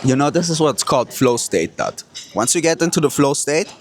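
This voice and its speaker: A man's voice: a little high, animated, a little fast, a crowd in the background. A man from Kurdistan who hosts the show as a hypnotist, talking to camera with boastful bragging and profane street slang. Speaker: hypnotist and host. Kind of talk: brash motivational talk to camera.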